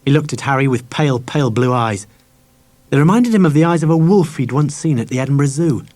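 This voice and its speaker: Casting: man with a mid-pitched voice to narrate a children's audiobook from script. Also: expressive, brisk, a little mellow.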